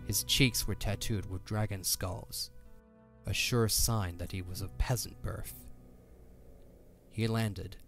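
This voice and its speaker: Male narrator, very deep, for dramatic scripted storytelling over a soft music bed. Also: steady tone, takes it slowly, pauses often. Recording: background music under the voice.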